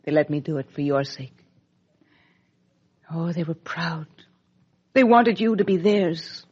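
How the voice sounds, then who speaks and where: A woman playing a regretful middle-aged actress confessing to her abandoned child in a 1950s radio soap, halting, earnest and dramatic, with a husky voice. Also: deep voice, animated, a little mellow, heavily acted. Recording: dull lo-fi 1950s radio recording.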